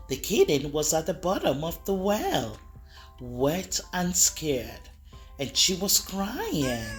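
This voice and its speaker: A woman reading a picture book aloud to children, expressive and talking to the kids directly. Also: lowish voice. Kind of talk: read-aloud storytelling for kids.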